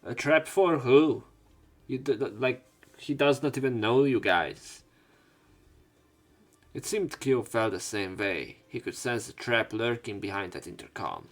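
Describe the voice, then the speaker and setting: Gravelly man reading game text. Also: medium pitch, animated, unhurried. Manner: joking asides, casual reading with fillers. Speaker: let's play host.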